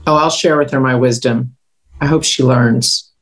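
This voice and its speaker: A woman's voice: rumbling low, fairly even intonation, normal speed, low-quality recording. A woman playing a proud, guarded veteran Muay Thai fighter at a script reading. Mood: defiant. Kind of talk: screenplay table read acting.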